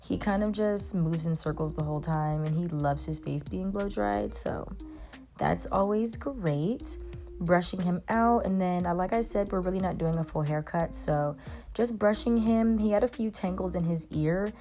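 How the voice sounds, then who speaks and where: A woman's voice: fairly low pitch, expressive, moderate pace, dark tone, soft background music. A young woman, a mobile pet groomer, chatting casually to her viewers as she works in her grooming van. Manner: chatty, talks to dogs in baby talk. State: congested. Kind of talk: casual vlog narration.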